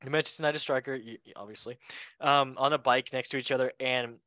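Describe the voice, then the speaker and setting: A man hosts a casual football chat show with a bright, forward voice. He is a non-native English speaker who talks in a jokey, excitable manner. The sound is dry, with no room on it. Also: mid-range voice, quick pace, no room sound. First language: not English. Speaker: show host.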